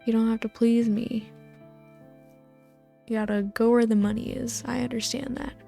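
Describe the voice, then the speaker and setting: A woman with a soft voice, rambling over gameplay in a sleep-aid video, meandering and sometimes losing her thread. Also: on the high side, flat delivery, normal speed, creaky, soft background music. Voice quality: soft voice, drifting into whispers. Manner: soft, meandering, loses her train of thought. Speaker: gaming video host.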